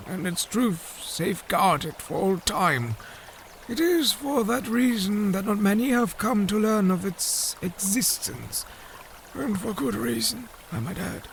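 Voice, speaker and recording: A man playing an old, grave, scholarly fish-folk advisor in a fantasy audio drama, speaking formally and deliberately. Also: high voice, a little hiss or hum, heavily acted. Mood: solemn. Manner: formal, deliberate, solemn storyteller.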